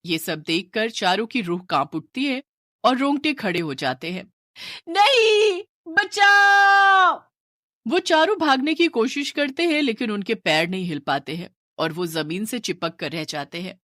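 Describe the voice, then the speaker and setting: A woman's voice: medium pitch, lively intonation, moderate pace, a bit bright, no echo, clean studio sound. A woman narrating a scripted horror story in a dramatic storytelling style.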